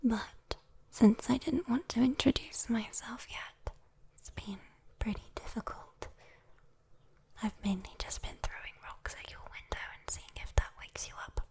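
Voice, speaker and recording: A woman with a British accent voicing a sweet, teasing, possessive demon girl in a soft, intimate whispered ASMR roleplay. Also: on the high side. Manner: slow, coaxing, intimate, playful. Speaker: ASMR voice performer.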